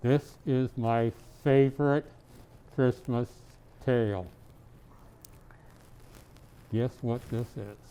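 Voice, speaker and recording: Man playing a slow, gentle, smooth-voiced Santa. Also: mid-range voice, lightly acted, poor audio. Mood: kindly, playful. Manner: slow, deliberate, kindly, grandfatherly. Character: jolly, gentle old Santa Claus. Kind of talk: storybook reading with chatty asides.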